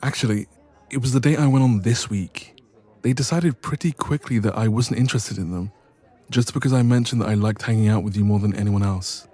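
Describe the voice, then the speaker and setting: A man's voice: fairly low pitch, a little fast, dark tone, close to an everyday voice. A man playing a bashful, evasive best friend with a secret crush in an intimate romance audio roleplay. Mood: embarrassed, hesitant. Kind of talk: romance ASMR roleplay, intimate one-sided conversation.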